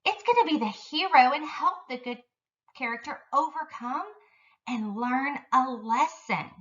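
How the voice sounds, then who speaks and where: A woman teaches young children in careful, simple phrases at an unhurried pace. Her delivery swings up and down in pitch. The recording is a little dull, with the mic at some distance. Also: expressive, a bit bright. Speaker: elementary school teacher. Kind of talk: instructional video lesson for young children.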